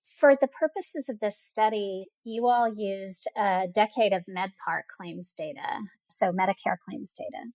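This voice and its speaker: A woman's voice: medium pitch, a little slow, darkish tone, dry recording, low-quality recording. A woman hosting a podcast interview, introducing guests and putting questions to them.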